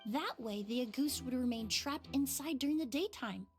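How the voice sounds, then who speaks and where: A woman's voice: sits high, normal speed, soft background music, natural voice. An actress playing a composed, meticulous female detective in a video game, speaking precisely and with assurance. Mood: explanatory.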